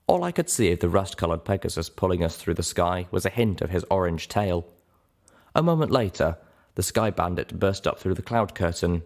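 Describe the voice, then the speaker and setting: A man narrating a fantasy audiobook in an expressive, scripted reading style. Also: sits low. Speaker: audiobook narrator and show host.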